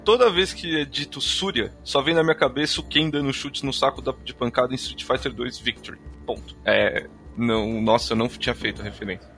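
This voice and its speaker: Fast-talking Brazilian man, casual podcast. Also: medium pitch, a bit bright. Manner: informal, joking, slangy. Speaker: podcast co-host. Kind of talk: casual chat, reading listener comments. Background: background music.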